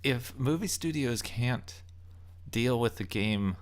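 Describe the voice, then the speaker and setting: A man's voice: medium pitch, animated, slow pace. A man hosting a video channel, giving a casual, off-the-cuff opinion commentary and thinking aloud in broken phrases. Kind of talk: casual opinion rant about game movies.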